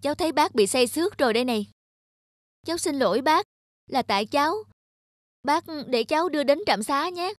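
A woman's voice: sits high, animated, brisk, long pauses, no echo, studio quality. A woman voicing a contrite, polite young male scooter rider in a cartoon, hesitant and deferential. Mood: apologetic. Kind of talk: cartoon voice acting.

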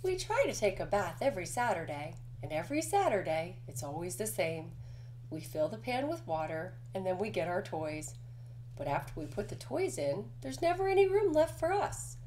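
A woman voicing a grumpy, loud little male mouse for a picture book, indignant and emphatic. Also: medium pitch, sing-song, normal speed, slight background noise, lightly acted, low-quality recording. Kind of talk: children's picture book read-aloud.

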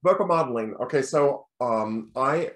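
Man with a bass voice teaching choir. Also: moderate pace. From United States. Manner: relaxed explaining, breaks into sung demonstrations. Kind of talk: recorded lecture over slides.

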